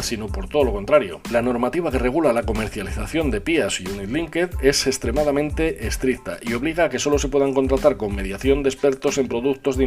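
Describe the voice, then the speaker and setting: Man explaining finance over soft music. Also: medium pitch. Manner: measured, explanatory. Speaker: podcast host.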